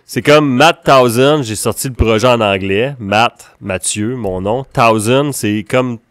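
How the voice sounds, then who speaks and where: A man's voice: medium pitch, unhurried, clear, resonant tone, no echo. A man from Ontario with a Franco-Ontarian French accent, a guest musician chatting casually and rambling with many restarts. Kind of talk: casual conversation.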